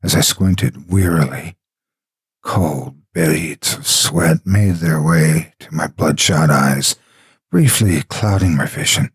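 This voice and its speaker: A man with a raspy, gravelly voice doing scripted horror storytelling in a theatrical, emphatic manner. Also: very deep voice, no echo, studio quality. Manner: theatrical, emphatic, drawn-out words. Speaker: horror story narrator and host. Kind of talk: dramatic scripted horror narration and promotional address.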